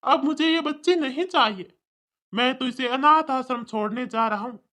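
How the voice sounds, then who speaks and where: Woman voicing a callous cartoon father, lowered voice. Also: normal speed, a bit bright. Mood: bitter, dismissive. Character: callous father who rejects his newborn daughter. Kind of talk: cartoon story dialogue.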